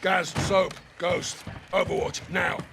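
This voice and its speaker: A man's voice: sits high, flat delivery, takes it slowly, gravelly, heavily acted. A man playing a terse special forces soldier in a game, clipped and commanding. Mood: brisk, businesslike. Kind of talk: cinematic military game acting. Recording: very loud vehicle and ambient noise.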